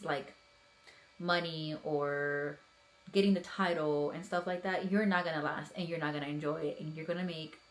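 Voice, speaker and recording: A woman's voice: deep voice, rough recording. A woman in her thirties giving earnest, encouraging advice in a casual vlog, talking in loose runs with many fillers. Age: 30s. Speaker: police officer vlogger.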